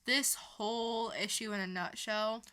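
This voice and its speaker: Woman, slow pace, bright tense tone, casual podcast chat, informal. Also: a little high, steady tone, clean tone. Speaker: podcast co-host.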